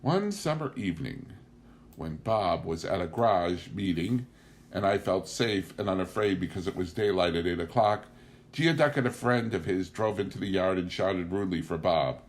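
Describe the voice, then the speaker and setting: A man with a fairly low voice narrates a book aloud. He delivers literary first-person memoir prose in the role of an audiobook reader. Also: animated, moderate pace.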